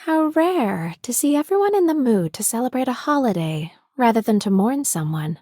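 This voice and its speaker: A woman's voice: fairly high pitch, very animated, normal speed, mellow, no room sound, clean studio sound. A woman voicing a cool, sly vampire noblewoman in a game, composed and dryly witty. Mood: wry. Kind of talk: scripted game character greeting.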